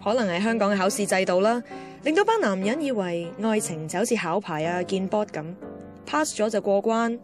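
A woman's voice: medium pitch, animated, brisk, lightly acted. An actress playing a blunt, teasing, know-it-all female friend in a radio drama, emphatic, with a Hong Kong Cantonese accent. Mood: wry, musing. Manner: emphatic, mixes in English words. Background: music.

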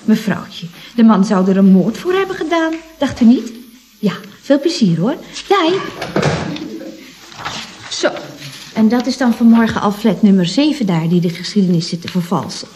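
Woman acting female secretary, radio comedy, lively intonation, gushing, breezy, teasing, mock-cosy. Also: mid-range voice, normal speed, long pauses, a little hiss or hum, natural voice.

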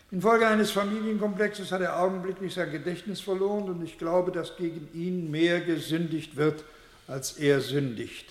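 A man's voice: fairly high pitch, lightly acted. An actor playing a pompous male doctor who solemnly declaims an absurd clinical report in a satirical radio play. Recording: echoey hall with long reverb.

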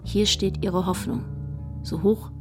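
Wry woman narrator, medium pitch. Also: level tone, slow pace, over music. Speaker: narrator in a radio play. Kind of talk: scripted documentary-like narration.

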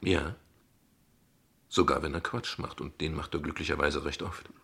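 A courteous, philosophizing father in a radio play. His voice is deep, creaky and husky. He speaks reflectively and articulately, with slight hesitations and dry wit. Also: steady tone, moderate pace, fairly bright tone, heavily acted. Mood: wry, friendly. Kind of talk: naturalistic German radio play acting.

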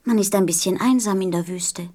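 A woman's voice: mid-range voice, brisk, darkish tone, natural voice. Woman doing a small innocent boy in a radio play, curious n earnest, kinda wistful. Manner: earnest, questioning, childlike.

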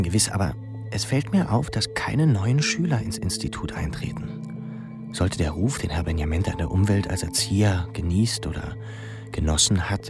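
An actor playing a brooding young pupil in a radio drama, musing anxiously in questions, gravelly and often near a whisper. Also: male voice, sits low, exaggerated voice. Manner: musing, questioning inner monologue. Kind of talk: literary radio drama narration. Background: music.

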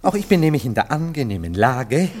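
A jealous, boastful gentleman in a radio play. His mid-pitched voice leaps up and down as he sputters, repeats himself and mocks with wounded vanity. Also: fairly quick. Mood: haughty, vengeful. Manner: excitable, mocking, sputtering with repeated words. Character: jealous, vain Viennese gentleman lover, agitated and boastful. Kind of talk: German radio play acting.

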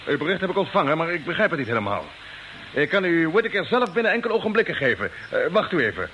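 Commanding spaceship captain, lively pitch. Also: male voice, fast talker, lo-fi sound. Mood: urgent, puzzled. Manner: commanding, urgent. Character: brisk male spaceship captain commanding a Mars fleet. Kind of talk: radio drama acting. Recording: old radio recording with background noise.

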